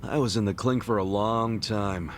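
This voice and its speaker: An actor playing a brash, good-hearted ex-yakuza in his forties for a video game, with a casual, blunt, slangy and expressive delivery. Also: lowish voice, normal speed, a little hiss or hum, close to an everyday voice. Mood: friendly, prodding. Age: 40s. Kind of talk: English video game voice acting.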